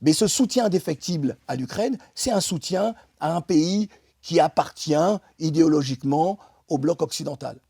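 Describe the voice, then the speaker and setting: A middle-aged man speaks with impassioned emphasis, building his argument and then trailing off into hesitant restarts. His voice sits fairly high but sounds soft and warm, with a light husk. It is recorded close and dry in a studio. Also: expressive, vocal fry, slightly rough, no room sound. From France. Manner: emphatic, many pauses and restarts. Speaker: interview guest. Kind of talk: impassioned political interview talk.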